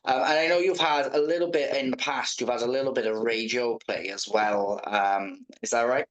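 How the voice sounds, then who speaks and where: A man doing a casual interview, speaking in a halting, informal way. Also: mid-range voice, fairly even intonation, low-quality recording.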